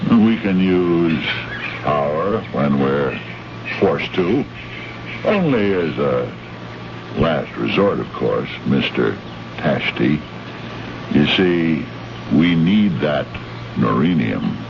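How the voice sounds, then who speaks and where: A gravelly, deep-voiced greedy space envoy. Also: male voice, very animated, slow, pauses often, exaggerated voice. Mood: pushy, menacing. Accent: American. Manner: brash, condescending, slangy. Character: greedy, bullying male human trade envoy in space. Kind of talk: old-time radio drama acting. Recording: noisy, muffled old radio recording.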